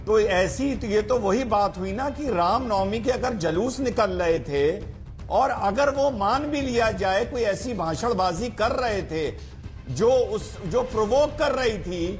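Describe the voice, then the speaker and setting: A man's voice: sits high, expressive, unhurried. A man speaking as an advocate on a televised news debate panel, arguing in an emphatic, combative way. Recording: TV broadcast with music behind.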